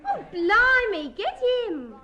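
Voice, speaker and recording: A woman's voice: very high voice, huge pitch swings, slow pace, over a noisy crowd, very noisy, heavily acted. An actress playing a brassy young English dance-hall girl in a 1950s radio comedy, cheeky, chatty and unimpressed. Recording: old radio comedy, loud music and audience.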